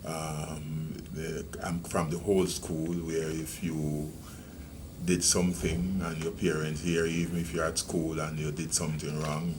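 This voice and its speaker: A man in his sixties with a Jamaican accent, a retiring senior police officer recalling his rural upbringing in a measured, reminiscing interview. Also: a bass voice, level tone, noisy background. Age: 60s. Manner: measured, reminiscing, with many ums and restarts. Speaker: retiring police deputy commissioner. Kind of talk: reflective interview storytelling.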